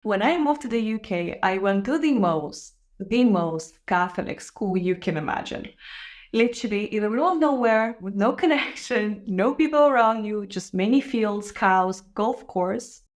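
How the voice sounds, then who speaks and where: A woman's voice: medium pitch, expressive, normal speed. Candid young woman host, foreign-accented English. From Israel. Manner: candid, reflective, soft laughs. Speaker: podcast host. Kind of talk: casual personal monologue to camera.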